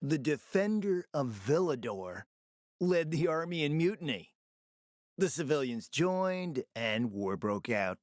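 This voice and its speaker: Sly smooth-talking spymaster for a game, theatrical and urbane, pitch moves around a lot, dry recording. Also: male voice, very animated, slow, no echo. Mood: grave, conspiratorial. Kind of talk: video game voice acting.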